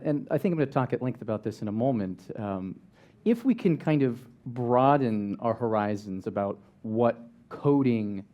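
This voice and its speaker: A man's voice: mid-range voice, lo-fi sound. A man speaking as an educator on a panel, wry and joking, thinking aloud as he goes. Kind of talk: panel discussion.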